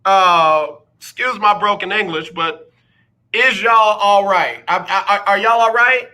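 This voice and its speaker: A man's voice: high voice, measured pace, bright, forceful tone, poor audio. A man hosting a casual sports talk radio show, teasing listeners with rhetorical questions. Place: radio studio.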